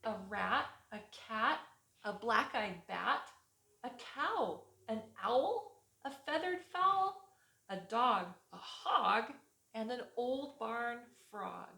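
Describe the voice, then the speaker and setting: A woman preschool teacher reading a storybook aloud to young children in a rhythmic, expressive way. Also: a little high, takes it slowly, creaky. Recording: echoey room.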